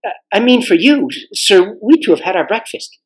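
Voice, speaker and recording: A man's voice: high-pitched, lively intonation, moderate pace, voice pushed up. A man voicing a cheerful young schoolboy with a chirpy, matter-of-fact manner and old-fashioned slang, from a storybook. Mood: eager.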